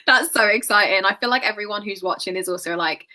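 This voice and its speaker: A woman chatting as a guest on a live video stream, enthusiastic and quick to laugh. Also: mid-range voice, bright, forceful tone. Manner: enthusiastic and giggly. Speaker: guest co-host. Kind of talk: casual live-stream chat.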